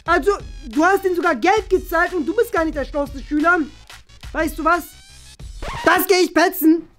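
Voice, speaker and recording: A man's voice: very high voice, normal speed, fairly bright tone, soft background music. A man playing a chatty, rambling father who dotes on his son and boasts about him in an improvised comedy sketch. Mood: outraged, disappointed. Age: young adult. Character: chatty, boastful, doting father visiting his son's classroom. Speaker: performer in a school comedy sketch. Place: small bright classroom indoors.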